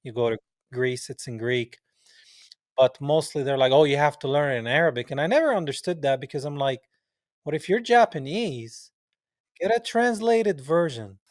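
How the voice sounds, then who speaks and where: A man's voice: fairly high pitch, animated, moderate pace, no room sound, studio quality. A man chatting informally as a podcast interviewer, often dropping in man and bro. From the Levant. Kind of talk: casual conversational interview.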